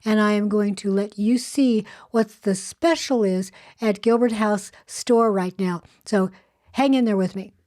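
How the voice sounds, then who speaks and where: Soft-voiced older woman, chatty. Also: medium pitch, a little slow. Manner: chatty, hesitant, frequent fillers. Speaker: show host. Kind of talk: casual spoken segment. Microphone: earbuds headset.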